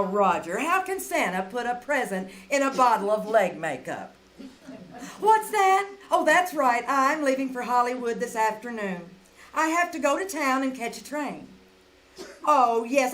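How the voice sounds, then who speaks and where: A woman's voice: high-pitched, a bit bright, heavily acted. A woman playing a chatty, scatterbrained wisecracking lady reporter in a theatrical 1940s radio comedy style. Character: chatty, dizzy wisecracking newspaper reporter and movie star woman.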